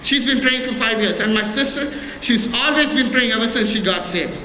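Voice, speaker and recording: Man, 30s, church testimony, halting and earnest; bright tone, long hall echo. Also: extremely high pitch. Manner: halting, many restarts and repeated words; earnest and plain. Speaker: church member giving testimony. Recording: echoey hall with faint noise.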